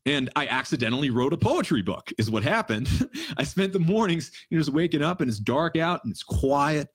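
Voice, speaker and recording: A man in his thirties hosting a casual livestream Q&A, answering listener questions in a relaxed, rambling way and laughing often. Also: a little high, fairly quick, breathy edge, no echo, studio quality. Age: 30s. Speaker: livestream Q&A host. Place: home in the mountains.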